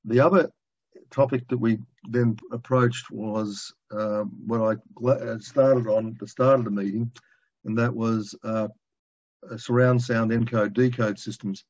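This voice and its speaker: A man in his sixties giving an informal technical presentation over a video call from a room at home, speaking in a halting, colloquial, conversational way. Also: mid-range voice, fairly even intonation, darkish tone. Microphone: video call, computer microphone. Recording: dull lo-fi video call audio.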